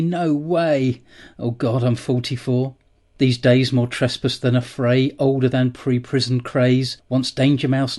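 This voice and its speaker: A man's voice: mid-range voice, darkish tone. A man in his forties reading his own comic rhyming poems in a dry, matter-of-fact way. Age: 40s. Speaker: guest poet.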